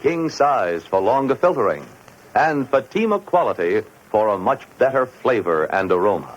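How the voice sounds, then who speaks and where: A man reading an emphatic 1950s radio sales pitch as a commercial announcer. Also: big pitch swings, moderate pace. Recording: muffled old radio recording.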